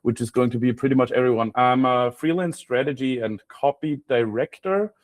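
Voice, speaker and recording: A man's voice: medium pitch, normal speed, no echo, studio quality. Wry Austrian man presenting informally. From Graz, Austria. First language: German. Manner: self-deprecating, wry joking. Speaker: guest speaker, freelance copywriter.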